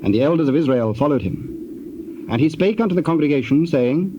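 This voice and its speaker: A man narrating scripture in archaic English, measured and formal, as a storyteller. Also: a little high, expressive. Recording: muffled tape with loud background noise.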